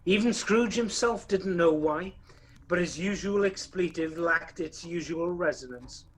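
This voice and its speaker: A man's voice: high voice, measured pace, rough recording. A man acting as narrator of a radio play, telling a ghost tale in a dramatic, wry storytelling manner.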